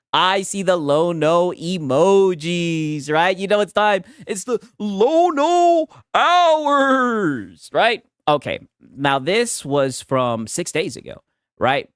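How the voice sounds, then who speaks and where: A man's voice: mid-range voice, fairly bright tone, no room sound, clean studio sound. A man hosting a live stream, reacting to clips in a mocking way and tagging his sentences with "right?". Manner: mocking, laughing often. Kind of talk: casual live-stream commentary.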